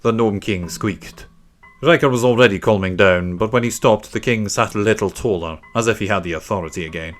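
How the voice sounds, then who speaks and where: A man's voice: fairly low pitch, a little fast. A man narrating a children's story from a script. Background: faint music.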